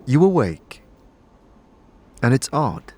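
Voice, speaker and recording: A man's voice: mid-range voice, very animated, takes it slowly, slight background noise. A man delivering dramatic, scripted fantasy narration as the narrator of an audio drama. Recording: loud music behind the narration.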